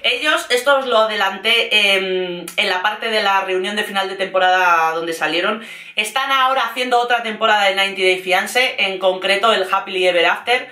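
A woman with a Castilian Spanish accent chatting casually and playfully as an online host, in an animated, gossipy, slangy way. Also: mid-range voice, moderate pace, echoey room. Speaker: YouTube host recapping reality TV. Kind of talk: casual, chatty vlog commentary.

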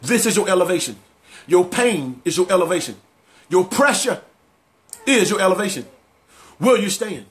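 Male preacher, high-pitched voice, motivational sermon delivery built on emphatic repetition. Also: expressive, moderate pace, long pauses.

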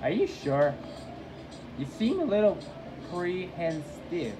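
A woman reading a game character's lines aloud in a casual, halting way. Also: on the low side, sing-song, noisy background. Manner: slow, halting delivery. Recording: home recording with music behind.

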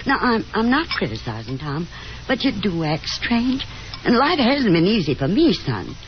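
A woman's voice: medium pitch, sing-song, moderate pace, faint music behind, close to an everyday voice. An actress playing a fretful, nagging middle-aged Southern mother, fussy and insistent, in 1950s radio drama style. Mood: anxious, reproachful. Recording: dull, noisy 1950s radio broadcast.

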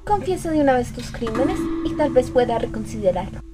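A woman's voice: on the high side, very animated, brisk, background noise. A woman playing a blunt, hot-headed young shrine maiden in an anime fandub, with a Latin American Spanish accent. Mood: demanding. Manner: blunt, demanding.

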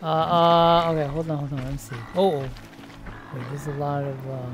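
A woman's voice: low-pitched, fairly even intonation, normal speed, music in the background. A woman streaming a VR game from home, chatting casually as she plays, full of hesitant restarts and thinking aloud. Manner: rambling, hesitant, lots of restarts. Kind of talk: casual live-stream commentary. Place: room at home, seated in a VR headset.

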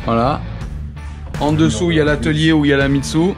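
Excited French vlogger at arm's length. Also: male voice, a little high, music in the background. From France. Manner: excited, quick, laughs often. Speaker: vlogger touring a car workshop. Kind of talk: casual handheld vlog narration. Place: house, workshop and ranch, indoors and out. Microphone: action camera held at arm's length.

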